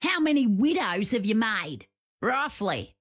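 An actress playing a cocky, teasing female game fighter with a husky, taunting delivery. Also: on the high side, very animated, unhurried, a bit bright, exaggerated voice.

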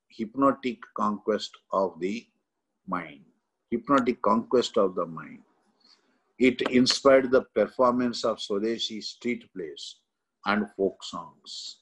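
A middle-aged man with an Indian accent delivers a lecture as a coaching teacher. His voice is husky and his pace is slow. He speaks in short, emphatic chunks, often checking that listeners follow. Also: lowish voice, poor audio. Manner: emphatic, choppy phrases with frequent check-in tags. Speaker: exam coaching history teacher. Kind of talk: lecture explaining exam questions.

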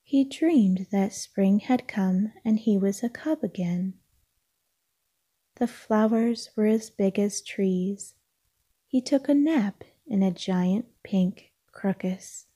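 A woman reading a children's picture book aloud as narrator, in a calming, gentle, hushed bedtime-story manner. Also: medium pitch, slow pace, husky.

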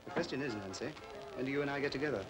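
A man acting a smooth, flirtatious upper-class English army captain in a 1940s British film. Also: mid-range voice, racing, lightly acted, rough recording. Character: suave, womanising captain out drinking in wartime. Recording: old 1940s film soundtrack with music.

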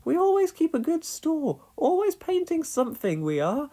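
A homely farm woman chats in a brisk, practical, cheery way, put on by a woman reading a storybook. Her voice sits high and moves in a bright sing-song. She sounds busy and good-natured. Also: sing-song.

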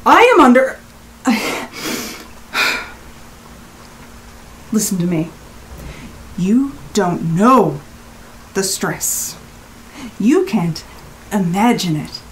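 Blunt, exasperated woman, slow delivery. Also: mid-range voice, animated, slight background noise, rough recording. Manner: exasperated, emphatic, clipped phrases. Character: exasperated, blunt, assertive adult rental guest. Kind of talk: naturalistic audio drama acting.

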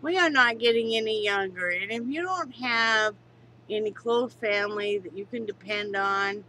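A woman's voice: mid-range voice, slight background noise, rough recording. A woman in her fifties, congested, candidly talking to her webcam at home and swearing freely. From Wyoming, United States. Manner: candid, swears freely, turns somber and quiet. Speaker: vlogger. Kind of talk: casual home vlog. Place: living room at home, on a couch. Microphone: webcam at close range.

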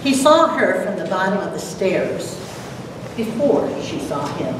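A mature woman giving a prepared talk and dramatic poetry recital with deliberate, theatrical delivery. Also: mid-range voice, very animated. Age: middle-aged or older. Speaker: presenter, dramatic reader. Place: lecture hall, at a podium. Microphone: far camera. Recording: echoey noisy hall from far off.

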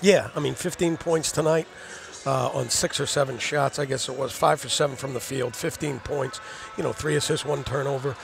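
Gravelly middle-aged coach, rambling talk. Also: male voice, medium pitch, fairly even intonation, moderate pace. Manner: rambling, repeats words while thinking. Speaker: assistant basketball coach. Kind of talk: postgame interview. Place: studio set in a gym, indoors. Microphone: headset mic.

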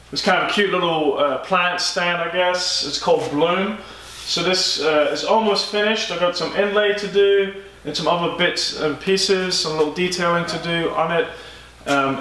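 A man in his thirties, a furniture maker giving a casual update to camera from his woodworking shop, talking in a halting way with many ums. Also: on the high side, pushed and bright. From United States. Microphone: camera mic a few feet away. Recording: echoey workshop, mic at a distance.

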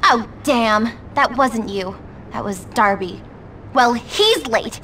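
A woman's voice: on the high side, expressive, slow pace, a bit bright, recorded from a distance, slight background noise. An actress playing a spoiled, haughty teenage schoolgirl in a video game, theatrically indignant. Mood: dramatic outrage. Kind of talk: video game cutscene acting.

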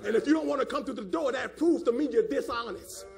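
A male preacher with a very high, strained-register voice full of gravel. He delivers a fiery sermon, hammering phrases through repetition and often shouting them, pressing for responses. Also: quick pace.

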